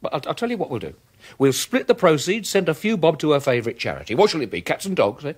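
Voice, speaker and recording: An actor playing an irritable, wheedling English husband in a radio drama, blustering and stammering in colloquial speech. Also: male voice, on the high side, big pitch swings, fast. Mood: cajoling. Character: irritable, wheedling working-class husband, sarcastic and resentful. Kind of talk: 1980s British radio drama acting.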